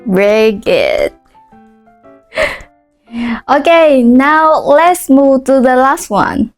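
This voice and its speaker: Vietnamese-accented woman teacher, high voice, slow and clear, leads repeat-after-me drills. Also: big pitch swings, faint music behind. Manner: slow and clear, modelling phrases for repetition. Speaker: language teacher. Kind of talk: language lesson.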